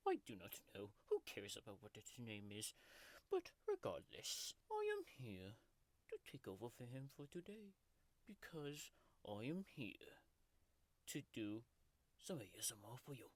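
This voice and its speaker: Woman doing a crude male tiger creature, whispery, very deep, wildly swooping pitch, dry close sound. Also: wildly swooping pitch, unhurried, darkish tone, no echo, full character voice. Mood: grumpy. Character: grumpy foul-mouthed male tiger-like creature. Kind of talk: comedic ASMR bedtime story reading.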